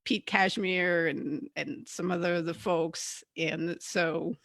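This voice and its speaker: A woman's voice: fairly low pitch, steady tone, takes it slowly, creaky, a bit bright, no room sound, studio quality. A woman hosting a podcast interview in a casual, chatty style. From Canada. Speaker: podcast host.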